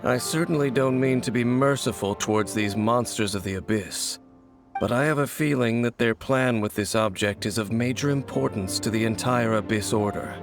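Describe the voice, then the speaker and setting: A man playing a gravelly cryptic swordsman. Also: mid-range voice, normal speed, music in the background, natural voice. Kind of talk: video game cutscene voice acting.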